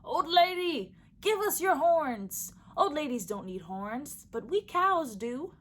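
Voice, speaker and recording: A woman voicing a pair of loud, demanding hornless cows in a storybook tale. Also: high-pitched, big pitch swings, slow pace, slight background noise, voice pushed up, full character voice. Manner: loud, calling out.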